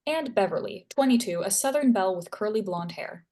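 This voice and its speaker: Woman, script narrator, middle pitch, reading scene directions. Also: animated, a little fast, mellow.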